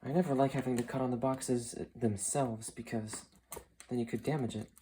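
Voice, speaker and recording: Mellow young man, noisy home recording. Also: mid-range voice, fairly even intonation, moderate pace. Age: young adult. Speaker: unboxing video maker. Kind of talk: casual unboxing commentary. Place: small carpeted home room with computer desk. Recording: clear background noise, crinkling and clicks. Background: noise, crinkling packaging, clicks.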